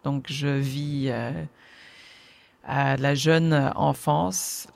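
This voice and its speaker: Slow, gravelly woman. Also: extremely low pitch, steady tone, a little mellow. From Victoria, British Columbia, Canada. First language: English. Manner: slow, thoughtful, with hesitations. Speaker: podcast co-host. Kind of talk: casual chat.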